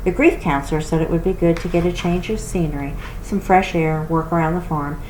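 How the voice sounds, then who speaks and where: A woman's voice: lowish voice, fairly quick, darkish tone, lightly acted. A woman voicing a boy's caring mother in a storybook, coaxing and soothing. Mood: gently coaxing. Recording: roomy echo with background noise.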